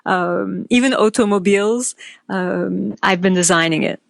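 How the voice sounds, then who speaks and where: Woman, from Turkey, designer guest, thoughtful pauses, dry recording. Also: medium pitch, gravelly, dry recording. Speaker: guest, product designer and author. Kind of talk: interview answers.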